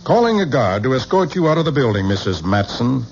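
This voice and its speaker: A man's voice: mid-range voice, very animated, fairly quick, a little mellow. An actor playing a stiff, formal male museum official in a 1970s radio drama, haughty and icy. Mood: threatening. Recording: dull old radio broadcast with faint hiss.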